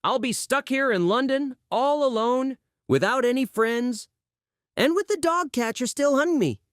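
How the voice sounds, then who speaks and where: A woman reading a children's audiobook aloud in an expressive storytelling style, acting out exclamations and sound words. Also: high voice, moderate pace, no room sound, clean studio sound. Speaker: audiobook reader.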